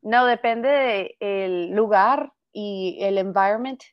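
A woman's voice: mid-range voice, takes it slowly, no room sound, dull audio. A young woman with a Venezuelan accent, guesting in a casual interview over a laptop video call, telling her story with frequent laughs and some stumbles for words. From United States, raised in Venezuela. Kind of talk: casual interview chat, Spanglish.

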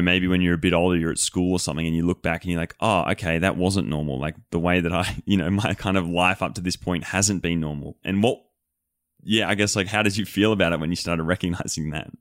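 Very deep-voiced male interviewer. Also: no room sound, clean studio sound. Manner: reflective, laughs easily. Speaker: podcast host. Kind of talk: interviewer asking follow-up questions.

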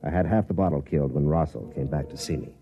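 An actor playing a cynical, hard-drinking middle-aged army captain with an American accent, wry and laconic, in old-time radio drama style. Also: male voice, very deep voice, fast, warm tone. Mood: wry, weary. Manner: wry, laconic, self-deprecating. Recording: muffled vintage radio broadcast, music behind.

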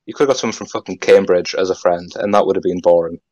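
A young man with a Geordie accent talks in a low, deep voice, rambling loosely and dropping "like" into nearly every phrase. The sound is dry and close, with no room around it. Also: steady tone, fast, darkish tone, dry recording, muffled recording. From North East England. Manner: rambling, full of "like", swears freely. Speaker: guest. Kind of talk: casual podcast chat.

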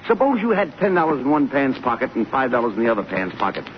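A man's voice: mid-range voice, big pitch swings, quick pace, natural voice. An actor playing a bossy, exasperated army private scolding his pal in a 1940s radio comedy, fussy and insistent. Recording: muffled, noisy 1940s radio broadcast recording.

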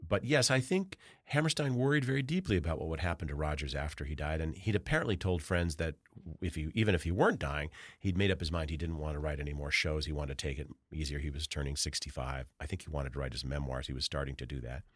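A middle-aged man, an author being interviewed, telling measured, anecdotal stories with frequent pauses and filler sounds. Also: a bass voice, dry recording, studio quality. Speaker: author and journalist guest. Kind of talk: thoughtful interview answers.

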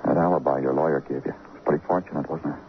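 Deep-voiced, brooding radio police detective. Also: male voice, fairly even intonation, racing, a little hiss or hum. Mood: grim, accusing. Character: hard-boiled, brooding male New York police detective. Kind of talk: old-time radio crime drama acting. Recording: muffled vintage radio recording with music.

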